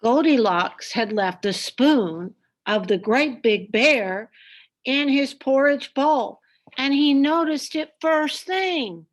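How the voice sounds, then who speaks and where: A woman reading a children's story aloud with expressive, deliberate, dramatic narration. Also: high-pitched.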